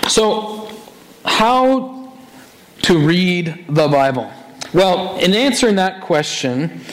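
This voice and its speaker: Male pastor, raspy and hoarse, fairly high voice, chatty sermon delivery full of restarts. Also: low-quality recording. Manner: conversational, animated with many restarts.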